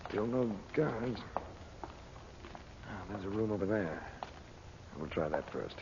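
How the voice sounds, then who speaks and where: A man playing a calm, cautious uncle in a 1940s radio adventure, speaking in a measured, commanding way. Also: lowish voice, creaky, heavily acted. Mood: tense, wary. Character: calm, cautious uncle leading his young nephew through ruins. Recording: muffled, noisy old radio recording.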